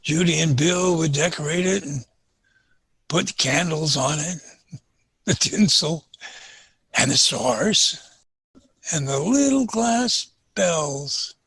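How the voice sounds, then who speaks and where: An actor playing a kindly, folksy old storekeeper in a family radio drama, with a raspy voice and a wistful streak. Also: male voice, fairly high pitch, expressive, slow pace, long pauses, fairly bright tone, no room sound, heavily acted. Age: older. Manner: folksy, hearty.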